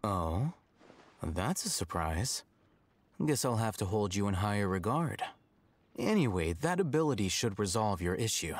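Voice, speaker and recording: An actor playing an aloof, condescending young scholar in a video game, speaking in a measured, dry, deadpan way. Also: male voice, lowish voice, full character voice.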